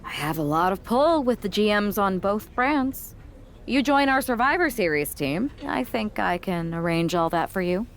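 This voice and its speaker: A woman playing a persuasive wrestling team recruiter, speaking in a measured, pointed way in a video game cutscene. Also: mid-range voice, expressive, lightly acted.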